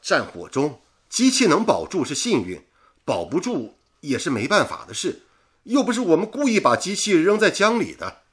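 An actor playing a polite, resolute wartime radio station worker in a radio drama, earnest and courteous. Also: male voice, on the high side, huge pitch swings, normal speed, husky, heavily acted. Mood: reassuring. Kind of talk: Mandarin radio drama acting.

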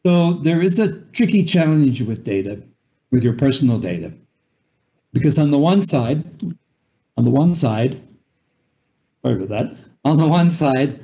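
A man's voice: medium pitch, expressive, moderate pace, pauses often, dark tone. North American man lecturing on a webinar. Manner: explanatory, frequent fillers and self-corrections. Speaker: webinar presenter. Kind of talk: lecture with slides. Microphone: video call. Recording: slightly dull webinar audio.

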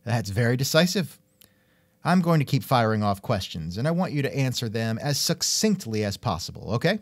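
Animated, wry male interviewer. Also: medium pitch, moderate pace, no room sound. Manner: wry, musing, interjects with hmms. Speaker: podcast host and interviewer. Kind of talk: casual scripted interview chat.

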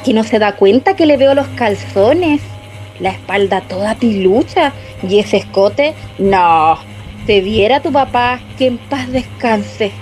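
A prudish Chilean neighborhood mother in a radio play, high-voiced and very animated. She scolds and gossips with righteous indignation. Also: takes it slowly, faint music behind. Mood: scolding. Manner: indignant, reproachful. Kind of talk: radio drama acting.

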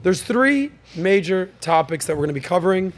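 A man's voice: sits high, lively intonation, a little fast, a little hiss or hum. A man hosting a podcast panel, upbeat and joking, with plenty of fillers and restarts. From United States. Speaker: podcast host. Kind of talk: casual panel talk show hosting.

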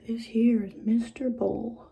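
A woman doing an ASMR roleplay as a clinical examiner, speaking softly, often in whispers, and giving short, playful instructions. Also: on the high side, level tone, takes it slowly. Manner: soft-spoken, often dropping into whispers. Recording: dull lo-fi audio with clear background noise.

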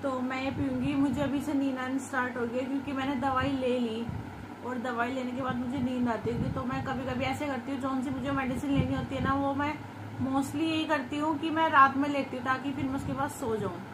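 Chatty high-voiced young woman vlogger. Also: level tone, brisk, noisy background. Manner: chatty, talks straight to camera while cooking. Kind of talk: casual vlog chat and cooking talk. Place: small home kitchen and living room indoors.

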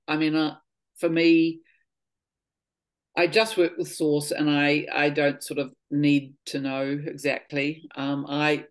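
A woman in her fifties who leads voice-healing workshops talks earnestly on a video call, searching for her words and letting phrases trail off softly. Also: low-pitched. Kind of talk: casual spiritual conversation. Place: home living room.

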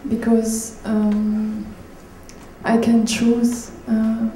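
Slow, mellow woman. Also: a little high, completely flat delivery. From Switzerland. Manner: hesitant, searching for words in English. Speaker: writer, panel guest. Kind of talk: unscripted panel answers. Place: public event room. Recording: echoey room, faint noise.

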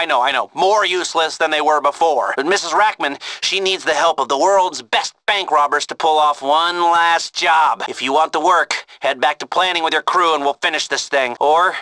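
A man's voice: fairly high pitch, bright, forceful tone, dry recording. A man playing a jittery, wisecracking heist organiser in a video game, talking in sardonic, rambling, hesitant asides. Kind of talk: video game mission dialogue.

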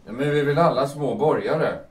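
A man playing a calm, sensible bourgeois gentleman in an audio drama, soothing and a little hesitant. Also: medium pitch, lightly acted. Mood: placating. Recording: distant, echoey room with faint noise.

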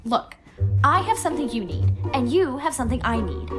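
Woman as a high, haughty cartoon unicorn. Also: sing-song, fairly quick, music in the background. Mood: defensive, scheming. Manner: haughty, theatrical. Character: haughty, boastful young female unicorn, self-centred and whiny. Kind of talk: fan cartoon voice acting.

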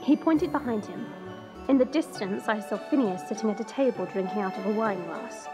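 A woman's voice: medium pitch, normal speed, over music, lightly acted. A woman playing a proud, sardonic young dragon woman who narrates an audio drama in a chatty, confiding way. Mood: wry confiding. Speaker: narrator and lead character.

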